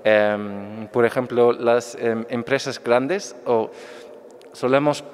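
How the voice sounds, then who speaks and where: Man lecturing, mid pitch, halting with fillers, long hall reverb. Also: fairly even intonation, clear, resonant tone. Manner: hesitant, many fillers and restarts. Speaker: lecturer answering audience questions. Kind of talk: academic talk. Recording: echoey hall.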